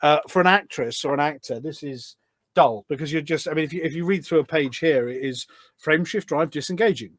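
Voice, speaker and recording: A man's voice: fairly high pitch, fairly quick, a bit bright, no room sound, studio quality. A man chatting casually as a podcast guest, an enthusiastic storyteller who rambles along from one detail to the next. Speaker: podcast guest, game voice pack producer. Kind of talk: casual chat, anecdotal.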